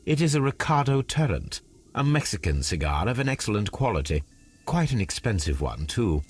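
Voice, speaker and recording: An actor playing a brilliant, aloof gentleman detective in a video game, with a British accent and a precise, measured, analytical delivery. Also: fairly low pitch, sing-song, husky. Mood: deducing. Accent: British English. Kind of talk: video game character acting.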